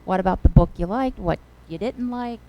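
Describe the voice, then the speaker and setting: A woman's voice: medium pitch, moderate pace, muffled recording. A woman chairing a finance committee, giving an update at a board meeting in a hesitant way with frequent fillers.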